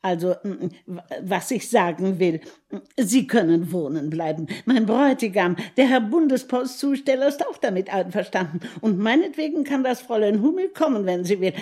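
A woman's voice: medium pitch, expressive, moderate pace, mellow, natural voice. An actress playing a chatty, bossy middle-aged landlady in a German radio drama, wordy and condescending. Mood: gushing, patronising.

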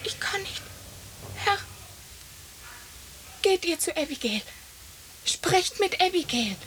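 A woman's voice: extremely high pitch, sing-song, slow, fairly bright tone, full character voice. An actress playing a terrified young servant woman, pleading in panic in an old radio drama. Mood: desperate pleading. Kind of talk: mid-century German radio drama acting. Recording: noisy vintage 1950s radio recording.

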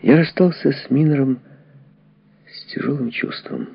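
A man narrating a historical memoir as an audiobook in a dramatic, deliberate way, with a husky, gravelly voice and telling pauses. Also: mid-range voice, no room sound. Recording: dull, lo-fi, dry audio.

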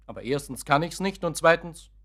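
A bitter son, swinging in pitch. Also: male voice, animated, brisk, a bit bright. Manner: sarcastic, impatient, brusque. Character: bitter, curt, cornered grown son. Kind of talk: German radio play acting.

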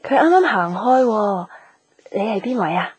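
An actress playing a brisk, practical young office colleague in a Cantonese radio drama, with a Hong Kong accent. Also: female voice, medium pitch, very animated, a little hiss or hum, lightly acted, rough recording. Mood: businesslike.

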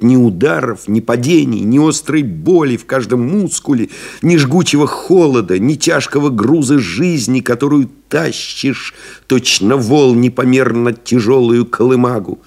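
An actor playing a pilot's devoted friend, solemnly retelling the ordeal in measured, rhetorical literary radio play narration. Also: male voice, medium pitch, a little mellow. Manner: grave, measured, rhetorical, building in intensity.

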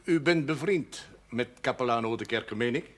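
Man acting husky, formal elderly parish priest. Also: mid-range voice, close to an everyday voice. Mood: dry, reserved. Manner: measured, formal speech sprinkled with French phrases. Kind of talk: 1970s television drama acting.

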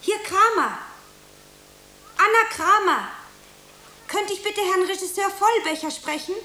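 A woman playing an eager, anxious young beginner actress in a radio play, earnest and theatrical, with dramatic pauses. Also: extremely high pitch, sharp tone, full character voice. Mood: nervous, pleading. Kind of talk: German radio play acting. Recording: roomy, faint hiss.

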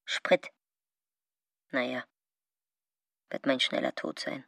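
An actress playing a frank, spirited woman in a radio play, with a husky voice and a direct manner. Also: extremely low pitch, steady tone, takes it slowly, a bit bright, exaggerated voice. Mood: wary.

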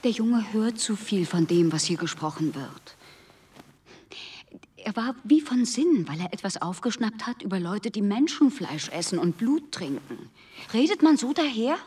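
A woman playing a practical, protective wife in a German radio play, matter-of-fact and firm, with a slightly husky voice. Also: mid-range voice, animated, normal speed, dark tone, far from the mic. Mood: protective, indignant.

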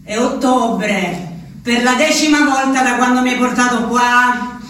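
An actress performing a slow theatrical monologue as a woman confined in an asylum writing to her husband, with a lilt. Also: on the high side, slight background noise. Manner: slow, intimate, shifting between hushed and sing-song. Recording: echoey, distant radio theatre recording.

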